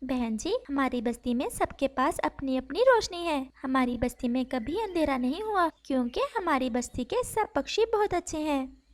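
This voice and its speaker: Woman as a high-voiced, hospitable mother sparrow. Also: lively intonation, moderate pace. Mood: welcoming. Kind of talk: Hindi cartoon storybook dialogue.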